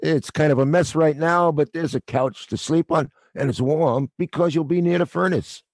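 An actor playing a middle-aged male theater night watchman who is gruff but kind, with a wry, world-weary, fatherly way of talking. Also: a little high, moderate pace, a little mellow, dry recording, studio quality. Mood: warm. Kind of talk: audio play acting.

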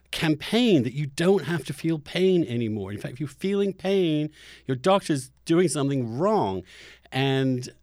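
A man's voice: a little high, no echo, clean studio sound. A middle-aged man hosting a conversational podcast interview, giving opinions emphatically and often restarting his phrases. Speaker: podcast host.

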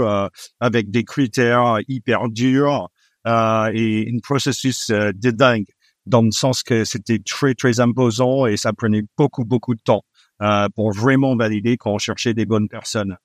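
A middle-aged man with a foreign accent, not a native French speaker, talking as a guest in an interview and often pausing with "euh". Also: medium pitch, no echo, studio quality. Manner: frequent hesitations with "euh", warm laughs. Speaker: guest, executive recruiter. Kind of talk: relaxed interview conversation.

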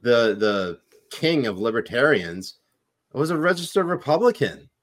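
A man's voice: medium pitch, sing-song, measured pace. A man talking politics in a casual podcast chat, rambling with lots of filler words.

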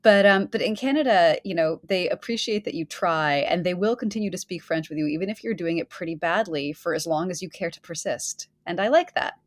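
A woman co-hosting a podcast in casual chat, wry and articulate, quick to laugh. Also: lowish voice. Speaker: podcast co-host.

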